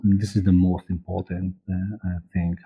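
A man in his forties with a Polish accent, speaking haltingly as an interview guest and searching for words. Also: sits low, little pitch movement, husky. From Poland. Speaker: interview guest, audiobook director and producer. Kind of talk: conversational interview. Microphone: video call. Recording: muffled, dull video call audio.

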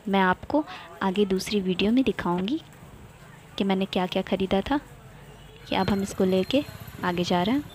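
A woman's voice: mid-range voice, normal speed, long pauses, a little hiss or hum. A young woman from India narrating a casual travel vlog, cheerful and chatty, pointing things out to her viewers. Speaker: vlogger.

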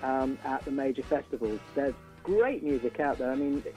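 A man's voice: medium pitch, moderate pace, dark tone, faint music behind, low-quality recording. An older man, a band musician, talking casually as a radio interview guest, opinionated and repeating words as he builds his point.

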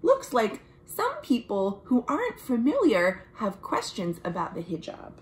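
A woman reads a picture book aloud for young children. Her voice sits fairly high and swings widely in pitch. She takes her time, phrasing each line expressively and clearly for little listeners. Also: wildly swooping pitch, unhurried. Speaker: children's librarian and storytime host. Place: small room at home, indoors.

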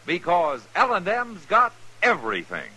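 A man's voice: high voice, wildly swooping pitch, measured pace, crowd noise, low-quality recording. An American man announcing a commercial in 1950s radio advertising style. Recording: vintage radio recording.

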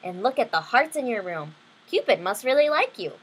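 A woman's voice: a little high, very animated, fairly quick, fairly bright tone, slightly rough, full character voice, poor audio. A woman voicing an eager, upbeat young boy, the little brother in a storybook reading. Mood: cheerful.

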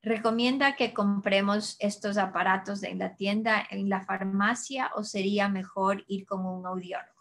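Woman audiologist, webinar answers, calm explanatory delivery, video call mic. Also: medium pitch, moderate pace, few pauses, slight background noise. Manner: calm, explanatory, frequent restarts. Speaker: audiologist presenter. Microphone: webcam video call.

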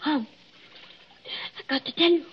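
Radio thriller: terrified young bride, drained, very high, halting slow delivery, muffled 1940s sound. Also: female voice. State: weak, exhausted. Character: exhausted, terrified young bride rescued from drowning. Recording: dull old radio broadcast.

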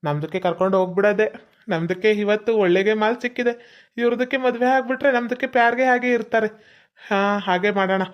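A man's voice: sits high, quick pace, highs cut off, lightly acted. Man playing a cheeky man in broken Kannada. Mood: scheming. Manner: odd broken phrasing, cheeky. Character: brash young man speaking broken Kannada. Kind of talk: comedy skit acting.

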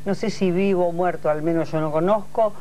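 A man's voice: high-pitched, a little fast, mellow, slight background noise. A man speaking emphatically in a studio panel discussion, piling up points. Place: television studio.

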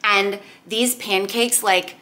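A woman's voice: mid-range voice, steady tone, takes it slowly, bright, forceful tone. A woman in her thirties casually walking through a recipe in her home kitchen, chatty and upbeat with lots of "like". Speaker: weight-loss cooking vlogger.

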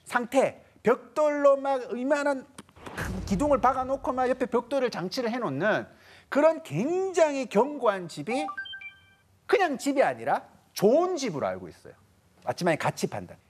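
A man in his thirties giving a lively lecture to a studio audience, acting out little quoted voices as he goes. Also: very high-pitched, slight background noise. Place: large TV studio stage with audience.

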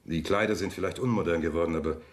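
An actor playing a wealthy, controlled husband in a German radio drama, speaking in a measured, polished way with pauses. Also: male voice, low voice, a little hiss or hum, natural voice. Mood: smooth, courteous. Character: wealthy suave older husband, controlled, wistful, condescending.

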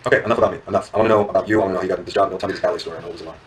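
A man playing an earnest, quietly intense police agent in naturalistic drama dialogue, speaking in a deliberate, hushed storytelling manner. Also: sits low, steady tone, distant mic, natural voice. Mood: probing. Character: quietly intense lawman recalling his youth, earnest, probing. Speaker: law enforcement agent.

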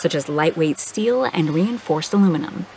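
A woman reading a scripted documentary voice-over in a smooth, measured, polished way. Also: low voice, lively intonation, a little mellow, slight background noise, rough recording. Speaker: documentary narrator. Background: background music.

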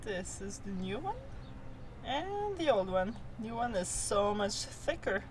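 A woman's voice: medium pitch, sing-song, takes it slowly, noisy background. A woman in her thirties chatting casually as she explains boat repair work outdoors in a boatyard, recorded on a camera mic. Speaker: sailing vlogger. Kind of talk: casual vlog narration.

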